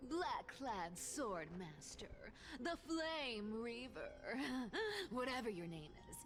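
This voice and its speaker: A woman's voice: fairly high pitch, big pitch swings, slow pace. A woman voicing a playful, cocky cat thief in a video game, theatrically teasing with whispers and giggles. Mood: mocking, gloating. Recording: loud game music behind. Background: game music.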